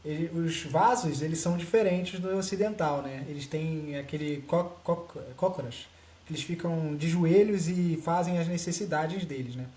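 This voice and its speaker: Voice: mid-range voice, normal speed, mellow. Joking podcast host in a roomy space. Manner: joking, chatty. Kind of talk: casual interviewing. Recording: roomy echo, faint noise.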